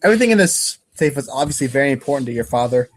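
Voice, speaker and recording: A man's voice: mid-range voice, very animated, very fast. A man giving a wry, theatrical dramatic reading as a podcast narrator, now and then tripping over long words.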